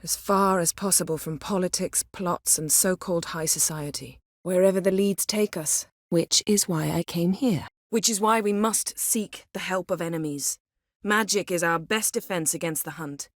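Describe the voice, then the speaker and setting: An actress playing a proud, sharp-tongued sorceress in a fantasy video game, speaking in a haughty, sardonic, clipped and articulate way. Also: female voice, on the low side, normal speed, no echo, clean studio sound, close to an everyday voice. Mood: grave.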